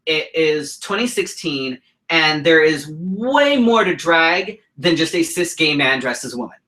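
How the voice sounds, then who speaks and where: A man's voice: a little high, lively intonation, a little slow, pushed and bright. A man co-hosting a talk show, speaking bluntly and indignantly with frequent swearing. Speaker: co-host, drag king performer. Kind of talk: heated casual chat.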